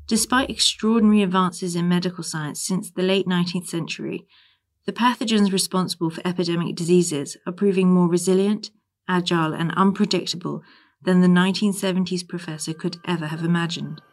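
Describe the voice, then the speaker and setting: Woman reading out a history article, slightly low voice, composed and formal. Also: fairly even intonation, fairly quick. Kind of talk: scripted narration, reading an essay.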